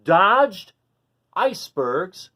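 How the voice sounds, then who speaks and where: Man, children's storytime host, lively swinging pitch, expressive, casual, simple explanations. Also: extremely slow. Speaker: children's read-aloud host. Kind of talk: animated storybook reading and casual chat to children.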